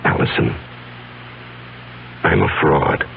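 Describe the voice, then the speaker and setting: An actor playing an anguished fugitive confessing his past in a halting, earnest 1970s radio drama style. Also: rumbling low, creaky, a little hiss or hum, exaggerated voice. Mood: grave, confessing. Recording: muffled, humming 1970s radio broadcast recording.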